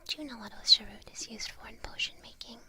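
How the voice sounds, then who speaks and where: A woman playing a sly witch who tutors an apprentice in a whispered ASMR roleplay, speaking slowly with soft, deliberate teasing. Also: on the high side, close to an everyday voice. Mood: gentle, instructive. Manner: slow, softly teasing, deliberate.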